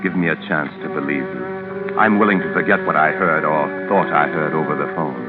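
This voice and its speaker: A real deep self-satisfied crooked lawyer, old time radio drama, narrow muffled sound. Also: male voice, fairly quick, loud music behind the voice. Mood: smug, calculating. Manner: pompous, self-satisfied, articulate. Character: smug, calculating male lawyer narrating his own murder scheme. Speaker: radio drama lead actor and narrator. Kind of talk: 1940s radio acting, first-person crime narration.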